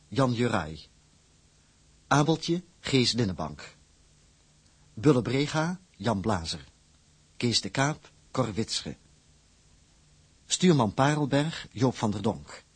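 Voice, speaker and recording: Slow, husky male announcer. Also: medium pitch, no echo. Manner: formal, measured. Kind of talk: scripted credits reading.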